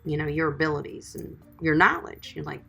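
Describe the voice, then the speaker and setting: A woman groomer talks casually through a livestream, answering comments and calling viewers sweetie. Her voice sits low and crackles with vocal fry. Faint music plays underneath. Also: fairly even intonation, a little fast, pushed and bright. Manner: chatty, encouraging, calls viewers sweetie and guys. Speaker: dog groomer.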